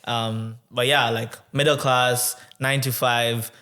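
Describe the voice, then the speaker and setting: A man in his twenties from Tanzania, a podcast guest telling his story in a reflective, conversational way, often pausing with um and uh. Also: mid-range voice, flat delivery, pushed and bright. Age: 20s. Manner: reflective, with many um and uh pauses.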